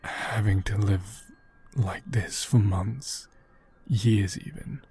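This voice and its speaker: A man acting a gentle, caring doll owner in a soft ASMR roleplay, speaking tenderly and haltingly, often in whispers. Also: lowish voice, slightly rough, heavily acted. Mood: shocked, pitying.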